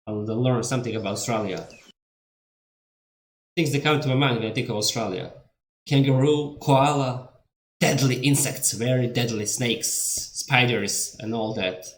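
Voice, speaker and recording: A man's voice: mid-range voice, normal speed, a little hiss or hum. A man from Montenegro with a Montenegrin accent and Serbian as his native language, giving casual reaction commentary in a rambling, candid way. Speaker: reaction video host. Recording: echoey room.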